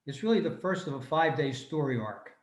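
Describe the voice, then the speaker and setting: A man's voice: on the high side, lively intonation, fast. A man reading a prepared informational talk as a presenter at an online club meeting, recorded over a video call. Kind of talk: scripted informational talk, read aloud. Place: room, remote video meeting. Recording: echoey room on a video call.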